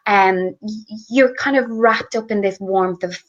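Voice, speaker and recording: A woman's voice: medium pitch, a little slow. An Irish woman chatting enthusiastically about her favourite skincare products, with lots of fillers and restarts. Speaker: guest beauty blogger. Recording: slightly muffled lo-fi audio.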